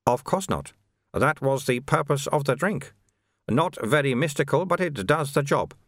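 A man voicing a calm, chatty monk in an audiobook, soothing and lightly teasing as he explains things. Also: medium pitch, moderate pace, dry recording, clean studio sound, pitched above the performer's own voice. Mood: chatty, matter-of-fact. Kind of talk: audiobook character dialogue.

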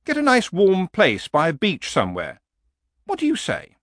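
Man voicing a fretful talking horse, pitched high. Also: huge pitch swings, normal speed, fairly bright tone, no room sound, clean studio sound, heavily acted. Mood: nagging, coaxing. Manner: plaintive, reasoning, persuading. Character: talking horse, fretful, nagging, sensible companion.

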